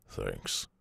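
A man voicing a brooding, weary loner werewolf with a Southern US accent and a gruff, husky drawl, in an ASMR audio roleplay. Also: pushed and bright, no room sound. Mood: softening, amused. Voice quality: very gravelly, husky. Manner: slow, drawling, murmured.

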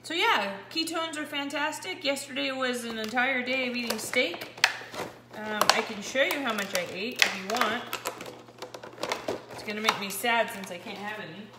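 A woman in her forties chatting casually to camera at home, excitable and a little scratchy-voiced. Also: fairly high pitch, normal speed. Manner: chatty, excitable, talks while handling a meter. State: slightly scratchy voice. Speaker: keto vlogger. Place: kitchen at home, indoors. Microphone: camera pointed down at the countertop. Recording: echoey kitchen with clear background noise.

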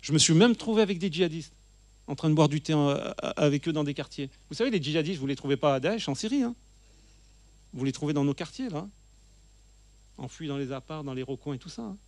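Middle-aged guy preaching at a table into a handheld mic, kinda high voice, dry close sound, keeps repeating lines for emphasis. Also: expressive, fast talker, a little mellow, dry recording. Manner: earnest, emphatic, often repeats phrases for stress. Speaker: guest speaker. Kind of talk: church teaching talk. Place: church hall, seated at a table.

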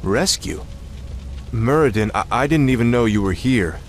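A man's voice: mid-range voice, lively intonation, moderate pace, faint music behind, close to an everyday voice. A man playing a resolute young prince in a video game, speaking with confident, clipped command. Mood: surprised, friendly. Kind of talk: video game cutscene acting.

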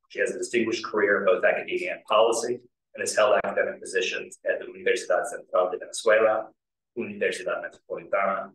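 A man's voice: sits low, fairly bright tone. A man moderating a panel event reads out formal introductions of the speakers, with small fumbles. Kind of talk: reading introductions. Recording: distant, echoey room mic.

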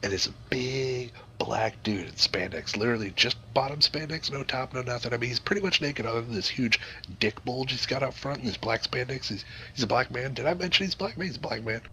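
A man's voice: medium pitch, a little fast, sharp tone, a little hiss or hum. A man improvising a crude comic story to a group, swearing freely and rambling in a mock-serious way. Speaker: storyteller, host.

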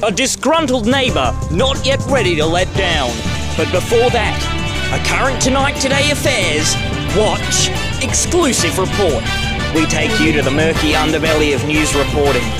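An actor playing a pompous current-affairs TV presenter with a dramatic, overly serious delivery. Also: male voice, fairly high pitch.

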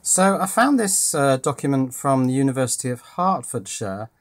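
British male hobbyist presenter, medium pitch, informal, thinking out loud with hesitations. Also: expressive, normal speed. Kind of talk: casual hands-on project narration. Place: indoors at a workbench.

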